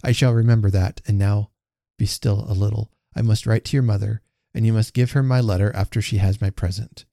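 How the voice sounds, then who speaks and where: A man acts out a repentant storybook father with a dark, mellow tone and some gravel. He is solemn, tender and earnest, choosing formal old-fashioned words. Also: lowish voice, steady tone, a little fast, dry recording, studio quality. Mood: tender farewell. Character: sombre repentant man, tender, parting from his children.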